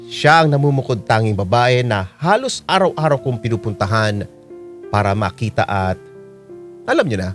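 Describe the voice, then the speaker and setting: Man acting animated old roguish storyteller. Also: medium pitch, normal speed, soft background music, natural voice. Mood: wistful, playful. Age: 60s. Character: roguish 65-year-old retired taxi driver telling his story. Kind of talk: radio drama first-person narration.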